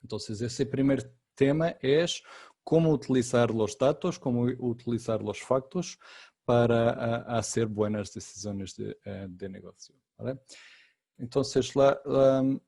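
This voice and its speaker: A man whose first language is Portuguese, teaching a webinar in a slow, halting way. His voice is gravelly and mellow, and it sounds dry and close. He pads his sentences with fillers and restarts. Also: medium pitch, no echo. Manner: slow and deliberate, many fillers and repeated words. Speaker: consultant. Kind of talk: webinar masterclass talk. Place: online webinar.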